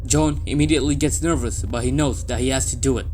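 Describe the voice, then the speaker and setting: A man narrates a scripted fiction story in a mid-range voice. Music plays clearly underneath his reading. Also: medium pitch, quick pace, darkish tone. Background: music bed.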